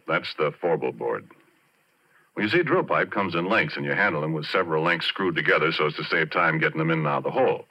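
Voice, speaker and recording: An actor in a 1940s radio drama playing an easygoing, rough-and-ready oil driller who talks in folksy, colloquial style. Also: male voice, low voice. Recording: muffled 1940s radio recording.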